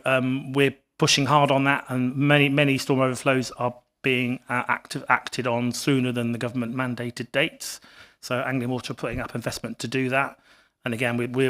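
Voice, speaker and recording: A man with a rough, gruff voice from a cough, answering questions from a council committee in a halting way that repeats words. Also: medium pitch, a bit bright. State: hoarse with a cough. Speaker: water company representative. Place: council committee meeting room.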